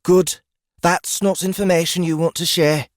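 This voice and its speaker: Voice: medium pitch, steady tone, normal speed, dry recording, studio quality, pitched above the performer's own voice, close to an everyday voice. A narrator voicing a brash, mocking, experienced woodswoman in a fantasy audiobook, blunt and amused. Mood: excited, knowing.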